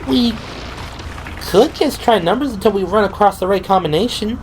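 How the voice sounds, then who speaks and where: A man's voice: high voice, moderate pace, voice pushed up, poor audio. A man voicing an eager, clever young woman, a medic in an audio drama. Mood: tentative. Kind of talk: audio drama character acting. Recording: faint background noise.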